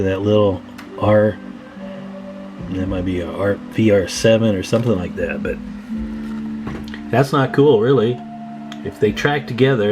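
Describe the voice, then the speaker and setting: A man's voice: medium pitch, poor audio. A man hosting a radio repair video, explaining hands-on work in a casual, folksy way and chatting informally to viewers.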